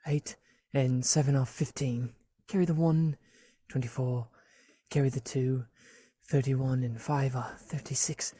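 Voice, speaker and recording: A man's voice: mid-range voice, fairly even intonation, measured pace, dark tone, slightly rough. Man playing a meek, flustered Victorian clerk. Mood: busy muttering. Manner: deferential, flustered, stammering. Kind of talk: radio play acting.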